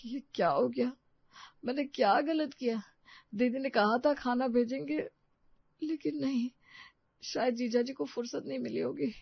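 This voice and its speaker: A meek young college lad from a cartoon story, acted by a woman, timid, downhearted and halting. The voice has some creak, on a dry track. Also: high voice, no echo, highs cut off, pitched above the performer's own voice, full character voice.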